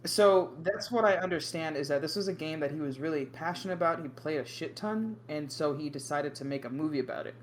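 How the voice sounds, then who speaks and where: A man's voice: fairly high pitch, a little fast, few pauses. A man on a reaction panel, chatting casually and loosely. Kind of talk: casual chat with swearing. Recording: faint background noise.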